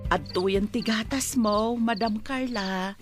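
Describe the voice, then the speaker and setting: A woman's voice: medium pitch, takes it slowly, music in the background, lightly acted. A woman with a Filipino Ilocano accent playing a deferential, caring household helper in a radio drama. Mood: respectful. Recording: noisy track with clicks and insect sounds.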